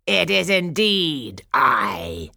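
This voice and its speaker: A woman voicing a wicked old fairy, sly and falsely sweet, in a slow, gloating storybook manner. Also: deep voice, big pitch swings, gravelly, pushed and bright, no echo, clean studio sound, exaggerated voice. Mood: gloating, triumphant.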